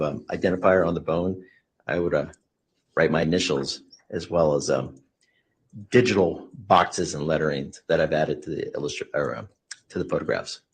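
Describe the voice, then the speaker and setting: A man's voice: deep voice, husky. A man giving expert testimony in a courtroom, hesitant and full of fillers, explaining technical terms carefully. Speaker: expert witness.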